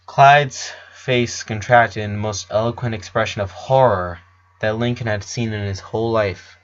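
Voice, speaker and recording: A man's voice: on the low side, steady tone, moderate pace, dull audio. A man reading a story aloud as a dramatic narrator. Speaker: fan fiction reader. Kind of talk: dramatic audiobook narration with character voices.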